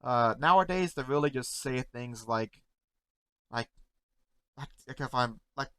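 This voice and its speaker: A man in casual talk to camera, halting and stuttering, repeating words and often trailing off softly, close to the mic at home. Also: medium pitch, animated, clean tone, no echo. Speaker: content creator reacting to memes.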